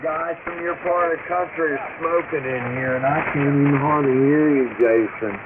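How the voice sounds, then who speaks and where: A blunt man chats over shortwave radio in a complaining, coarse way. His fairly high voice comes through thin and phone-like, buried in loud static. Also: normal speed. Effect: shortwave radio transmission. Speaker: radio operator. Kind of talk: casual radio chatter.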